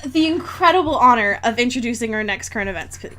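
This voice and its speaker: A woman's voice: a little high, lively intonation, quick pace, a bit bright, a little hiss or hum. A woman co-hosting a podcast in casual comedic chat, sarcastic and sweary, often talking through giggles. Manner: sweary, sarcastic, dramatic exaggeration. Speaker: podcast co-host.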